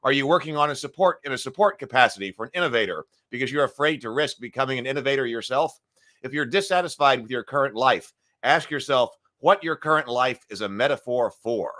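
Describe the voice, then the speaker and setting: A middle-aged man hosting a casual morning live stream, his voice gravelly today, clearing his throat often and thinking aloud with fillers. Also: mid-range voice, animated, fairly quick, sharp tone.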